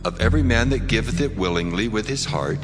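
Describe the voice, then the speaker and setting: A man performs a divine male figure, solemn and commanding, with a very low voice that moves through wide, lively intonation. He speaks in a dramatized scripture style inside a long, cavernous reverb. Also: quick pace, natural voice. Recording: long reverb, music behind. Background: background music.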